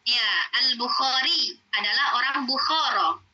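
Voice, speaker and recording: A woman's voice: high voice, unhurried, sharp tone, dull audio. A woman Arabic teacher, patiently explaining in an online class with many fillers.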